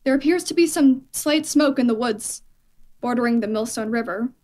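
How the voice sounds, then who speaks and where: A woman's voice: a little high, moderate pace, darkish tone, natural voice. A woman playing a confident army captain giving an assured field report in a radio play. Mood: breezy, confident. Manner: assured, dismissive of danger.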